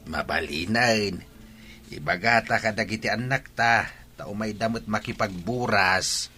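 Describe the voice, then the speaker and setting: A man's voice: lowish voice, measured pace, sharp tone, slightly rough, faint music behind, full character voice. An actor with an Ilocano Filipino accent playing a practical village father in a radio drama. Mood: matter-of-fact. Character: practical village father planning a mango harvest.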